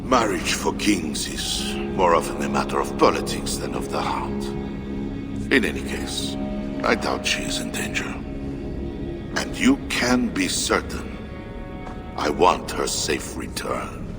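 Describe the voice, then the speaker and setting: A man's voice: very deep voice, big pitch swings, a little slow, gravelly, over loud music, heavily acted. An actor playing a zealous, scornful Frankish king in a video game, theatrical and brooding, with sudden swells. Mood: cool, calculating. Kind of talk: video game cutscene acting.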